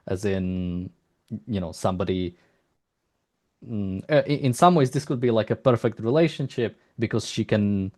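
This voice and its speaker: Low-voiced, halting male interviewer. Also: darkish tone. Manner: hesitant, halting, rambling, often restarts sentences. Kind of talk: unscripted interview, long exploratory questions.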